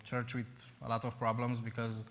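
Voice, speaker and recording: A Romanian man speaking halting non-native English with pauses as a missionary, addressing a church. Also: mid-range voice, monotone, fairly bright tone, long reverb tail. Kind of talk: church presentation. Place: church sanctuary.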